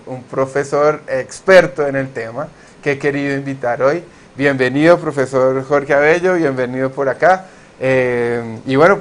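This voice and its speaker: Chatty male instructor hosting a class. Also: mid-range voice. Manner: friendly, chatty, with fillers. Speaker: course instructor, host. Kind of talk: hosted class interview.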